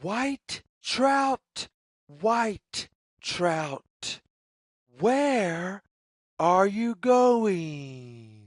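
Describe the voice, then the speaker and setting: A woman reading a picture book aloud for young children, with deliberate, singsong phrasing and questions put to the listener. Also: mid-range voice, big pitch swings, no echo, clean studio sound. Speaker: children's read-along storyteller.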